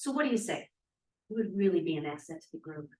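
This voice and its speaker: A woman's voice: lowish voice, very animated, quick pace, mellow. A woman reading the part of a blunt, cynical young activist in a period drama, with a challenging manner. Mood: persuasive. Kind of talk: table read of a period drama. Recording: echoey, distant room sound.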